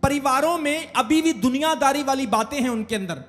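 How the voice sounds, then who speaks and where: A man's voice: very high-pitched, expressive, a little fast, fairly bright tone, long reverb tail, soft background music. A man preaching a sermon as pastor, calling on the congregation to say amen. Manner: preaching with call-outs for amen.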